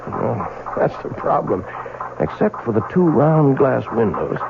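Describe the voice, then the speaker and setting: An actor playing an older, puzzled, dutiful clockmaker husband in an old-time radio drama, both narrating and speaking in scenes. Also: male voice, medium pitch, big pitch swings, a little slow, vocal fry, background noise. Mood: puzzled, defensive. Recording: narrow-band vintage radio, music behind.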